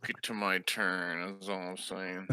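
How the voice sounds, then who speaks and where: Bright, low-voiced man, unhurried game player. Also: level tone, clear, resonant tone, no echo. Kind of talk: casual game-table chat.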